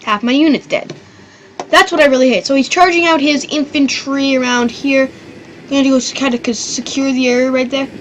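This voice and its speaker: A woman with a high, bright-pitched voice narrates an online strategy battle in an offhand, rambling way. She gets excitable, drops the odd swear and slips into goofy bits, with a faint hiss of noise under her. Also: fairly quick. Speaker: gaming channel host. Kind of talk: casual strategy game commentary.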